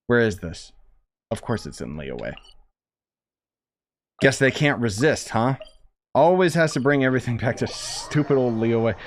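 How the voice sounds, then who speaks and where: A man's voice: medium pitch, lively intonation, normal speed, pauses often, slight background noise. A man giving casual gameplay commentary in a sarcastic, grumbling way, swearing mildly as he plays. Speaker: gaming streamer playing and commenting. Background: game music.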